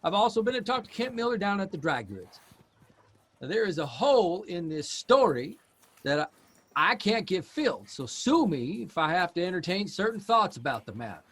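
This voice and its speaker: Earnest grown son, lively and higher-pitched. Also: male voice, normal speed, slightly rough, heavily acted. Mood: exasperated, worried. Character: earnest grown son, a newspaper writer, worried about his aging father. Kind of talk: naturalistic audio drama acting at a live reading. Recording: music behind a live stage reading.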